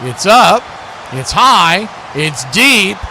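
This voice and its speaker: A man with a notably high voice delivers live American football play-by-play as the announcer. Clear background noise runs behind his commentary. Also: big pitch swings, drawn-out words, sharp tone.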